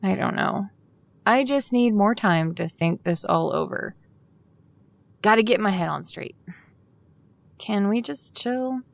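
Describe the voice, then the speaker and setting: A woman's voice: mid-range voice, lively intonation, slow pace, creaky, long pauses. Weary, frustrated young woman. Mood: weary, unsure. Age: young adult. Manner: casual, exasperated. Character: weary, frustrated young woman, sarcastic with her best friend. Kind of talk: audio drama acting.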